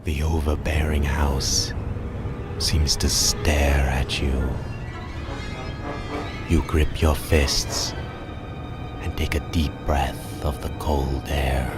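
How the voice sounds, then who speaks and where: Extremely deep-voiced man narrating horror. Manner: dramatic, ominous, measured phrasing. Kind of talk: scripted second-person horror narration, Halloween radio drama. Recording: loud scary music behind. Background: loud scary music.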